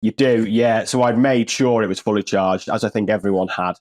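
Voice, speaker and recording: A man's voice: on the low side, a little fast, a little mellow, dry recording. A man with an English accent, a football journalist co-hosting a podcast, talking in a dry, wry, rambling way with lots of restarts. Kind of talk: casual podcast chat.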